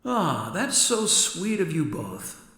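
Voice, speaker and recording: A man's voice: fairly high pitch, big pitch swings, slow, a bit bright, full character voice. A man playing a playful, ghostly former police chief in a radio drama, with a reverb effect on his voice. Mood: teasing. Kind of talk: contemporary radio drama acting. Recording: echoing, long reverberant sound.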